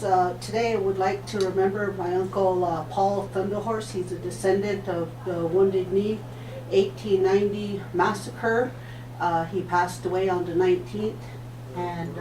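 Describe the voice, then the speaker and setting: Woman, prayer, soft, halting, low flat voice, echoey room, distant mic, noisy. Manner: soft, halting, with pauses and fillers. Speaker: guest leading a prayer. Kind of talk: spoken prayer and remembrance.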